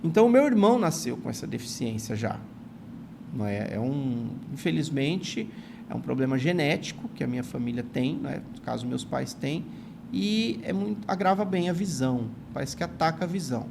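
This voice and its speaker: Earnest forties man with a São Paulo accent, gravelly and grateful, interview guest. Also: medium pitch, animated, fairly quick, background noise. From São Paulo, Brazil. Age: 40s. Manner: earnest, grateful, many "não é" tags. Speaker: guest, retired teacher and writer. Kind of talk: reflective storytelling in an interview.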